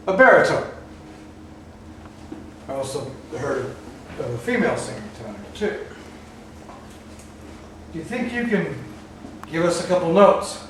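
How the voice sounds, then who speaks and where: A man with a somewhat high voice coaxes a spirit in slow, courteous phrases. He sounds distant from the camera, and the sound rings around a large empty theatre with a faint hiss underneath. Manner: slow, coaxing and polite. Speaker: paranormal investigator. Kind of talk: calling out to a spirit. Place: large old theatre, indoors. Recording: echoey hall from far off.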